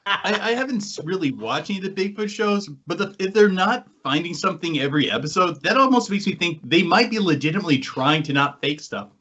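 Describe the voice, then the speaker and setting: A man's voice: high voice, lively intonation, fast, sharp tone. A man hosting a podcast and talking casually with the others. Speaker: podcast host and paranormal investigator. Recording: slightly muffled, lo-fi audio.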